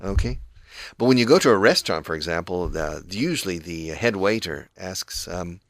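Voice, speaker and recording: A man with a low voice teaches English on the radio. He explains phrases patiently, moving between two languages. The studio sound is dry and close. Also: expressive, normal speed, dry recording.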